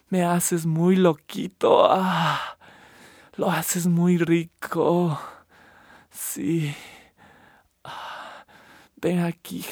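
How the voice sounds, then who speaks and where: A man with a husky, breathy voice, unhurried to the point of lingering over every word. He murmurs through an intimate roleplay, sitting slightly low and close in a dry, dead-sounding space. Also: no echo. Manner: breathy, murmured, slips between Spanish and English endearments. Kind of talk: intimate erotic roleplay monologue.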